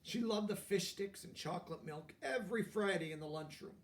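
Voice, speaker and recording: A man's voice: sits high, fairly quick, far from the mic. A man reading a picture book aloud expressively, with explanatory asides for young listeners.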